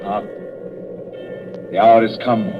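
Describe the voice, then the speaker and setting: Aged ghost, solemn, lowish pitch, 1930s radio acting, dull sound, music behind. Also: male voice, steady tone, takes it slowly. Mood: solemn, fading. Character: solemn, aged male ghost nearing his end.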